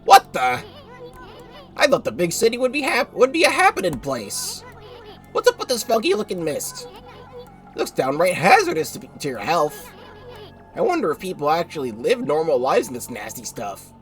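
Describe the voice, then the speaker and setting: Man doing a congested, excitable little companion. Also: high voice, moderate pace, faint music behind, pitched above the performer's own voice, full character voice. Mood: puzzled.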